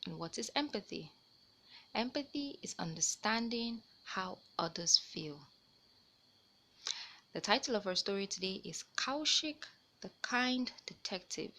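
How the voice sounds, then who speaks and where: Bright, lively woman reading stories for children. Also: mid-range voice, measured pace. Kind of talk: scripted children's storybook read-aloud.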